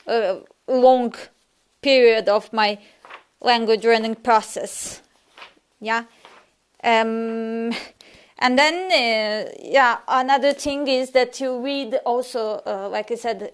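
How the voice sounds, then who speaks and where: A woman teaching a language lesson in her second language, her first being Serbian. She talks slowly and stops often to hesitate and restart. Her voice is a bit high, smooth and clear. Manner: hesitant, many fillers and restarts, answers a viewer by name. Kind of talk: casual live language lesson.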